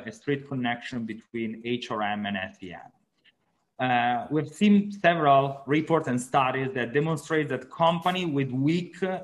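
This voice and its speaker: A man from Italy with an Italian accent, a guest on a podcast who explains at length with frequent "uh" pauses. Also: mid-range voice. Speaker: guest, assistant professor. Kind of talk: podcast interview answers.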